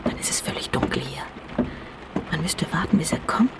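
An actress playing a scheming, manipulative wife in a radio crime drama, coaxing between pleading and breathy whispers. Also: female voice, deep voice, lively intonation, moderate pace. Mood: calculating, hushed. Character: scheming, manipulative wife urging her lover toward murder.

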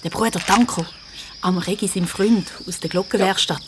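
A woman's voice: medium pitch, moderate pace, noisy background. A woman with a Swiss accent narrating a children's radio play in an involved, suspenseful storytelling way.

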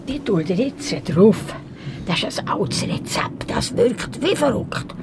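A woman's voice: mid-range voice, very animated, takes it slowly, background noise. A woman playing a small, fit old mountain woman with a Swiss accent, mothering, eerily cheerful and fussing with little interjections in a radio play. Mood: fussing, playful. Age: older.

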